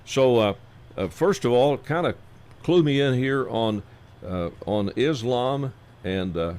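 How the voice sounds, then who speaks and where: A man hosting a casual radio interview, folksy and halting, with many fillers. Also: medium pitch, lively intonation, darkish tone, clear, resonant tone. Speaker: radio host.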